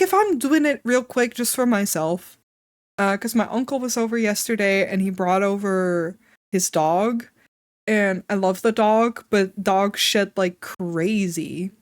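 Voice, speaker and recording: Casual woman streamer, slightly congested and wheezy, slow and chatty, dry close sound. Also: mid-range voice, no room sound. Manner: chatty, swears casually, laughs often. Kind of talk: casual live-stream chat.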